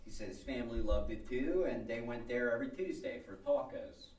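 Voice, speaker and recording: A man's voice: medium pitch, animated, brisk, darkish tone, lightly acted. A man playing a sardonic, teasing middle-aged small-town husband in a radio comedy, with a dry grumbling manner. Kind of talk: old-time radio comedy acting before an audience. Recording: roomy live stage, distant microphone.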